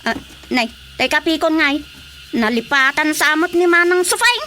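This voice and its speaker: A woman playing an excitable, complaining village gossip in a Filipino radio comedy drama, with a Filipino accent. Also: high voice, moderate pace, slight background noise. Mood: chatty, complaining.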